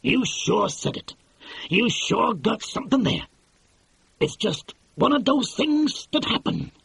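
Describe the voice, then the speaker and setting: A man's voice: high voice, big pitch swings, slow, vocal fry, fairly bright tone, breathy edge, dry recording, voice pushed up, exaggerated voice. A man reading an audiobook and voicing a brash, pushy middle-aged movie mogul who talks in slang. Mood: wheeling, pleased. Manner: slangy, pushy, exclamatory, keeps saying "see". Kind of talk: audiobook character voice.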